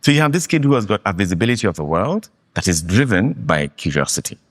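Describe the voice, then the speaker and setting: Deep-voiced Kenyan man, emphatic. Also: fast talker. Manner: animated, emphatic storytelling. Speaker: guest. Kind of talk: fireside interview. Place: live meetup venue.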